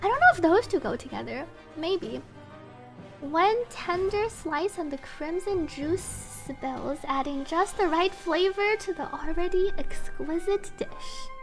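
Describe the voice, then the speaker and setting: A woman with a very high, bright voice streams a game. She chats casually and reads dialogue aloud with playful expression. Light music sits under her voice. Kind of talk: casual stream chat, reading subtitles aloud.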